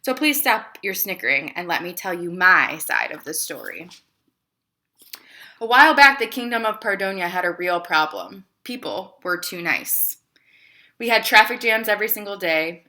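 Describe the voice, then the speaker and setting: Woman reading as bright-voiced, chatty storybook emperor Twill. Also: lowish voice, moderate pace. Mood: wry, sheepish, then confiding, exasperated. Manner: expressive, confiding storytelling with rhetorical questions. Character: embarrassed but clever male storybook emperor, chatty and self-justifying. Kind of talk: children's storybook read-aloud.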